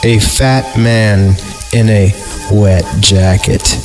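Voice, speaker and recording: A man with a low voice reads a horror story on a podcast, with music clearly playing behind him. He takes his time, savoring words in a mock-dramatic way, and slips in wry comments. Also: fairly even intonation, drawn-out words. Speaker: podcast host reading horror stories aloud. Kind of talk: mock-dramatic story reading with joking asides. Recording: loud music under the voice.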